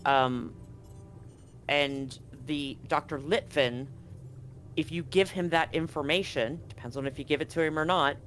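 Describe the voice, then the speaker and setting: A man narrating a live tabletop game as game master, conversational and often halting to correct himself. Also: a little high, a bit bright. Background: music.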